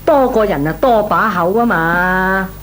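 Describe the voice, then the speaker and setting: An actress playing a worried, plaintive middle-aged aunt with a Cantonese accent in an old Hong Kong radio drama. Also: female voice, medium pitch, a little slow, a bit bright, natural voice. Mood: worried, pleading. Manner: plaintive, sighing, exclamatory. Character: fretful married woman, worried pleading aunt. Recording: old radio recording, faint noise.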